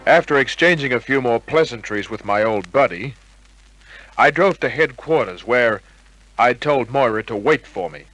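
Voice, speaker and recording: An actor playing a wisecracking, cool private detective in old-time radio crime drama, with a wry, clipped delivery. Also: male voice, medium pitch, animated, moderate pace, fairly bright tone, dry recording, low-quality recording. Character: wisecracking hard-boiled male private detective, cool under pressure.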